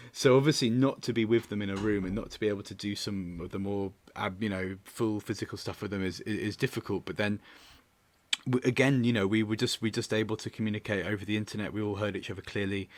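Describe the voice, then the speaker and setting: A man's voice: on the low side, a bit bright, no room sound. A man talking reflectively in an interview as a director, hesitant and often repeating words as he searches for the next phrase. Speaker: theatre and audio drama director.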